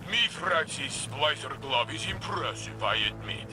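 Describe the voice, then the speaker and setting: A man's voice: medium pitch, animated, measured pace, natural voice. A man playing a wry, cocky robotic companion in a video game, with a dry, teasing manner. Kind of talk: scripted video game dialogue.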